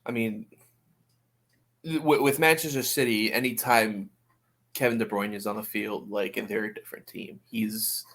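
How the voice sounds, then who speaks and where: Gravelly, bright-voiced man talking soccer. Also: on the low side, steady tone. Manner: halting, hedging, often repeating words. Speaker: podcast guest, soccer pundit. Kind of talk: casual sports discussion.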